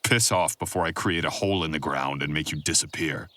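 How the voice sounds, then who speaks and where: A man playing a brooding, possessive young boyfriend with a gruff, terse, menacing manner in an audio roleplay. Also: extremely low pitch, brisk, sharp tone, voice pushed down. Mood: hostile, possessive. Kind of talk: boyfriend ASMR roleplay acting.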